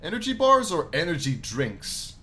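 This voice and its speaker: A man's voice: on the high side, huge pitch swings, normal speed, bright, forceful tone, slight background noise. A man reading visual novel text aloud as a let's-play host, with casual asides mixed in.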